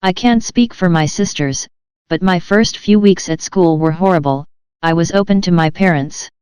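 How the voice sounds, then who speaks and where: An audiobook narrator reading a first-person memoir in a steady, even manner. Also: medium pitch, clear, resonant tone, no echo.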